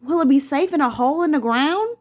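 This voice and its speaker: Woman plays clever storybook spider, male, fretful and exaggerated, pitched high with big swoops. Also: animated, a little fast. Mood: worried.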